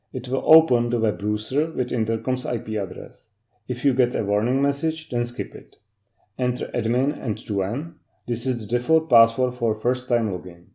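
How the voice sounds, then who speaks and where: Man with a very dark, mellow voice. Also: mid-range voice. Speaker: technical trainer. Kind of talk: scripted instructional narration.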